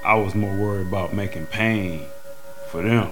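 A man with a fairly low voice talks colloquially, as if in a casual interview. His manner is streetwise, and music is clearly audible behind him. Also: steady tone, moderate pace.

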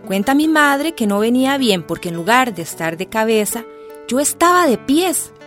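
Tender, nostalgic woman narrator, highish voice. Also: big pitch swings, moderate pace, a bit bright. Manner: reflective, tender. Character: tender grown woman fondly remembering her village childhood. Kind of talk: radio drama narration. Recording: music behind the voice.

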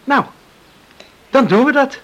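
A man's voice: very high-pitched, animated, slow pace, a bit bright, dull audio, full character voice. An actor playing an eager, caring young countryman in a Dutch radio drama, speaking earnestly and solicitously. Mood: tender, caring.

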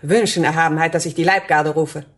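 A woman's voice: sits low, steady tone, brisk, far from the mic, close to an everyday voice. An actress playing a dictator's armed female aide in a German radio drama, formal, clipped and deferential. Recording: echoey room, off mic.

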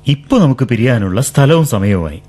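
An actor playing an earnest young suitor who gently coaxes a woman for an answer. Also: medium pitch, big pitch swings, fairly quick, faint music behind. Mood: coaxing. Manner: gentle and coaxing. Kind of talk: 1970s radio drama acting.

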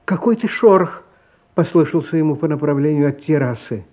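A man narrating a literary radio play as a solemn, compassionate storyteller, expressive and measured, with dramatic pauses. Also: fairly high pitch, lightly acted. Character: solemn, compassionate storyteller of a boy's inner life. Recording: slightly dull, lo-fi radio recording. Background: music.

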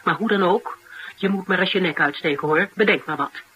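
An actress playing a flustered, blunt, protective interviewer in a radio drama, with a Netherlands Dutch accent and a halting, exasperated delivery. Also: female voice, lowish voice, sharp tone, low-quality recording. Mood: exasperated, insistent. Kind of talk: naturalistic radio drama dialogue. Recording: faint background noise.

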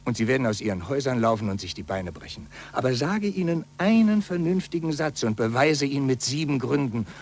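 An actor playing a cautious, anxious middle-aged skeptic, speaking earnestly and urgently in a 1940s German radio play. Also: male voice, medium pitch, big pitch swings, fairly quick, hardly any pauses, a little mellow. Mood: grave, pessimistic. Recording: noisy, humming 1940s radio recording.

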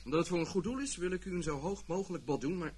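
An actor playing a shrewd, polite American collector in a radio play, flattering and bargaining, sprinkling English words into his Dutch. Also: a little high, racing, close to an everyday voice. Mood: frank, bargaining. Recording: noisy old radio drama recording, clicks.

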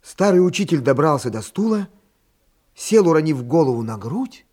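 A man's voice: a little high, big pitch swings, normal speed, darkish tone. A man reading scripted narration for a radio play as its storyteller.

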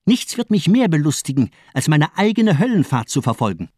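A man's voice: on the high side, very animated, fairly quick, darkish tone, no echo, studio quality. A man acting in a radio drama as a fanatical, mocking doctor, declaiming with insistent, rhetorical force. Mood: cold fervour. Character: fanatical, mocking villainous male doctor, zealously demanding.

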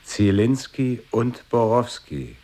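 A man's voice: mid-range voice, very animated, takes it slowly, warm tone. An actor playing a terse, insistent man in an avant-garde radio play, speaking in short, repeated phrases. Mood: flat, insistent. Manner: short clipped lines, repetition.

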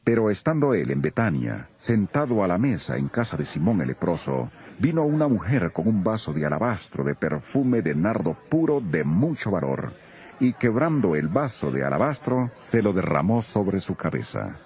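A man's voice: sits low, big pitch swings, moderate pace, darkish tone. A man narrating a dramatized scripture recording as a solemn storyteller.

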